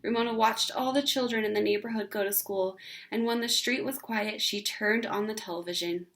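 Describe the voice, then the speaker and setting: A woman narrating a children's story aloud in an expressive read-aloud style. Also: mid-range voice, brisk.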